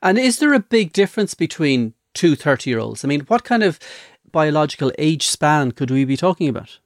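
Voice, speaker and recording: An Irish man hosting a news podcast, introducing the topic and asking his guest questions in a measured, conversational way. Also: a little high, very animated, dry recording, studio quality. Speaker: podcast host.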